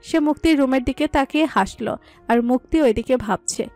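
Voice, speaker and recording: A woman narrates a scripted romantic story in a high voice. Soft background music runs under her reading. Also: moderate pace, no echo.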